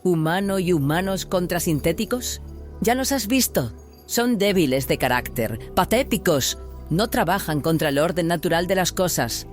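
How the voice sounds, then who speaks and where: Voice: mid-range voice, animated, moderate pace, faint music behind, lightly acted. An actor playing a fervent, hard rebel leader in an audio drama, with an intense, declamatory delivery. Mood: pleading, scornful. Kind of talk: dramatised audio fiction dialogue in Spanish.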